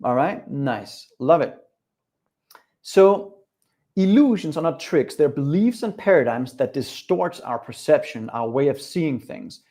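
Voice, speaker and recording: A man's voice: on the high side, animated, unhurried, dark tone. A middle-aged man from Denmark with a Danish accent, giving an upbeat, direct live webinar talk that addresses his viewers and their chat. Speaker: coach.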